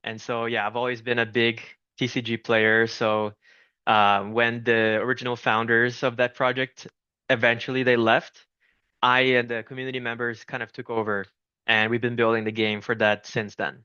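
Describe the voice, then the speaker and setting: A Brazilian-born man in his thirties, a founder chatting as an interview guest, friendly and prone to restarting his sentences. His voice is bright and crisp, recorded dry and close with a slightly dull, lo-fi sound. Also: mid-range voice, level tone, normal speed, no echo. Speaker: game studio founder, guest. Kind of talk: casual interview talk.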